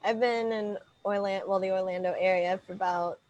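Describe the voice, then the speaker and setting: A woman's voice: medium pitch, steady tone, fairly quick, muffled recording, a crowd in the background. A young woman from Indiana hosting a casual video-call chat, friendly and giggly, with many affirmations. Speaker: host.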